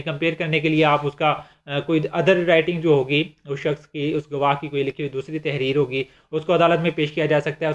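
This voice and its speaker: A man with a Pakistani accent giving an explanatory law lecture in a conversational way, often repeating and rephrasing his points. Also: on the high side. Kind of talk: explanatory lecture in simple terms with examples. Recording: dull, lo-fi microphone sound.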